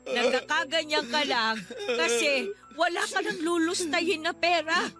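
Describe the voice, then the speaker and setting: A woman's voice: high voice, a little slow, music in the background. A woman acting as a grieving nanny in a radio drama, scolding bitterly and tearfully. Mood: reproachful.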